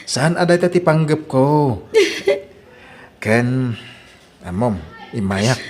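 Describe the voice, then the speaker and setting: A man's voice: medium pitch, expressive, extremely slow, darkish tone, slight background noise. A man acting a chatty, teasing but helpful friend in an Ilocano drama, breezy and gossipy, with an Ilocano accent.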